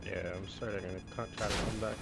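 A man's voice: lowish voice, flat delivery, fast talker, vocal fry, over loud music. A man giving casual callouts to his team during a group game stream. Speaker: gamer in a group livestream. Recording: game gunfire and noise behind voice. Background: video game gunfire.

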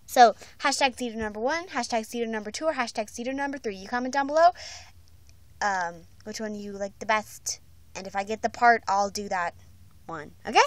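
A woman's voice: fairly high pitch, a little fast, no echo. A woman chatting casually in a goofy, self-mocking way as she records an audition video on her phone. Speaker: vlogger auditioning for a voice part. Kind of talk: casual doll-video chat. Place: indoors at home. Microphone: handheld phone, close.

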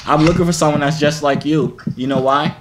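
Deadpan young man teasing strangers. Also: fairly high pitch, fairly quick, a little hiss or hum. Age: young adult. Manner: deadpan, playful trash talk. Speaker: YouTuber on video chat app. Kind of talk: teasing prank chat. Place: small indoor room.

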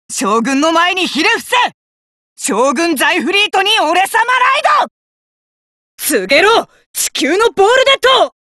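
An actor voicing a cocky, hot-blooded young male fighter in an anime card game, rough-talking and arrogant, with emphatic battle cries. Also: extremely high pitch, pushed and bright, no echo, studio quality, full character voice.

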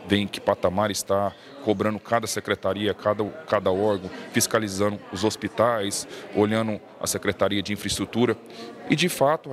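A man in his thirties with a Brazilian accent answering a reporter's questions into a handheld mic, steady and earnest with filler restarts. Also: fairly low pitch. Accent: Brazilian Portuguese. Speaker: city councilman interviewed. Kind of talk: press interview. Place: indoor legislative hallway.

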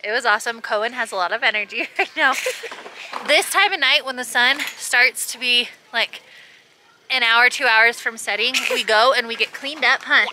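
A woman's voice: fairly high pitch, moderate pace, sharp tone, a little hiss or hum. A woman in her thirties chatting casually to a handheld camera outdoors at a campsite. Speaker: family vlogger. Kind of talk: casual vlog chat. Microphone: handheld camera at arm's length.

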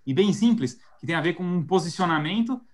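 A Brazilian man with a Paulista accent and a somewhat high voice, talking as a guest on a live video call. He thinks aloud, restarting phrases and leaning on fillers as he builds his examples. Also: expressive, fairly quick. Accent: Paulista Brazilian Portuguese. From São Paulo state, Brazil. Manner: thoughtful, hesitant restarts, many fillers. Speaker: guest marketing specialist. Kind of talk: live webinar conversation. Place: home office indoors. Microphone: video call webcam mic.

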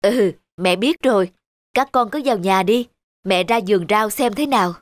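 A woman voicing a patient, firm mother rabbit in a children's cartoon, speaking in a measured, instructive way. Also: medium pitch, no echo, studio quality, lightly acted. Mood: concerned. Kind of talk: animated children's cartoon voice acting. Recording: music behind the voice.

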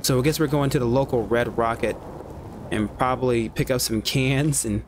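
A man's voice: medium pitch, steady tone, moderate pace, background noise. A man streaming a video game, chatting casually and swearing freely as he plays. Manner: laid-back, swears freely. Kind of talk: casual gameplay commentary.

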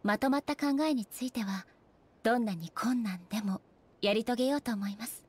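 A woman playing a solemn female ruler deity in a Japanese anime game, speaking in a composed, formal, measured manner. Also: on the high side, slight background noise, lightly acted.

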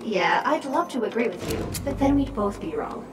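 High-pitched, cheeky flying drone. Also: female voice, very animated, normal speed, noisy background. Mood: earnest, wry. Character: cheeky, talkative flying drone companion, female. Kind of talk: video game voice acting.

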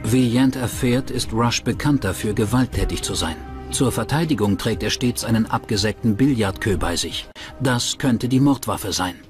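A man's voice: on the low side, normal speed, lightly acted. A man reading scripted true-crime documentary narration as the narrator. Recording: music bed under the voice. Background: background music.

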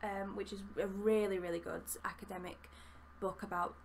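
Yorkshire woman in her twenties, mid-pitched, chatty book vlogger at a webcam, faint room hum. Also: flat delivery, normal speed, gravelly. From Yorkshire, England. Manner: chatty, enthusiastic, rambling with fillers. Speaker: book reviewer. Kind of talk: casual book review vlog. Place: small room with bookshelves, indoors.

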